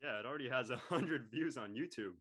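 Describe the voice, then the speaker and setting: A man's voice: medium pitch, brisk, fairly bright tone, slightly rough. A man playing a sarcastic, mocking teenage boy who cracks dry jokes in an audio drama. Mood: dismissive. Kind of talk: audio drama acting, casual dialogue.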